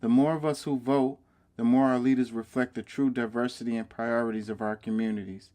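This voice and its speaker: A man's voice: medium pitch, fairly even intonation. Man host, earnest civic narration. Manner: earnest and measured in narration, loud and chatty when live. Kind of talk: scripted voice-over narration and casual live talk.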